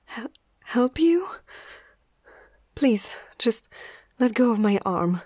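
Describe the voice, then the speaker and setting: A woman acting out a young female vet in an ASMR fantasy roleplay. She speaks slowly and hesitantly in a husky, breathy voice that often slips toward a whisper. She is nervous yet caring and firm, heard close up with a dry sound. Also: mid-range voice, darkish tone, no room sound. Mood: scared, hesitant. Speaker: amateur ASMR roleplay performer.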